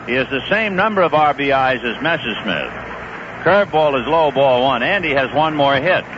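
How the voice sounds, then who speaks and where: A man calling baseball play-by-play on the radio in a conversational, lively manner. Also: mid-range voice, moderate pace. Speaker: play-by-play announcer. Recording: dull 1970s radio broadcast with hiss.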